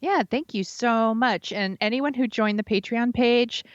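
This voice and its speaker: A woman's voice: on the high side, a little slow, no room sound. An American woman co-hosting a podcast in casual, slangy chat peppered with "like". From United States. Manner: informal, slangy, filler "like". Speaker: podcast co-host. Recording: dull, lo-fi microphone sound.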